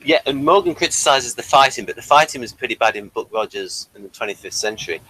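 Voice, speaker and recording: A man with a bright, forceful voice at a medium pitch, recorded dry. He chats informally as a panelist on a review show. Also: lively intonation, fast, dry recording, low-quality recording. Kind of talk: casual chat.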